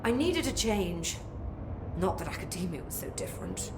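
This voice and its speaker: Haughty scientist, swooping delivery. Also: female voice, lowish voice, wildly swooping pitch, normal speed. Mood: smug, reflective. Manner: precise, pompous, dryly exasperated. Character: haughty, brusque, fastidious female academic scientist. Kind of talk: video game dialogue acting. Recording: roomy echo with background noise.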